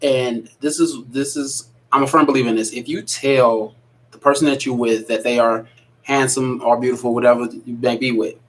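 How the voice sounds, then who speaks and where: A man's voice: mid-range voice, steady tone, moderate pace, a bit bright, rough recording. A man co-hosting a podcast, talking earnestly and repeating phrases for emphasis. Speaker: podcast co-host. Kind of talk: casual chat.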